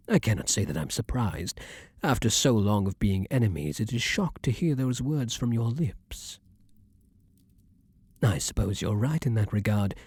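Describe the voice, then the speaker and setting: A man playing a formal, tender vampire king in an intimate ASMR roleplay, speaking in measured, old-fashioned courtly phrases. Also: lowish voice, darkish tone, husky, no echo, clean studio sound, heavily acted.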